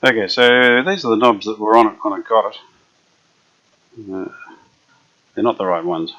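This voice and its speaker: A man restoring an old radio, chatting casually as he works, unhurried and full of fillers. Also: fairly low pitch, sharp tone. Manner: unhurried, thinking aloud with many fillers. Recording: dull lo-fi workshop recording.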